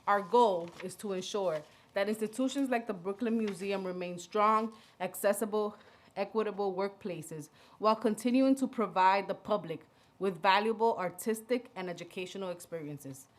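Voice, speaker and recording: A woman's voice: mid-range voice, moderate pace. A woman with a Dominican accent chairing a public council hearing, reading acknowledgements and stumbling over names with light self-deprecating asides, speaking into a desk microphone in a hearing room. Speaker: committee chair.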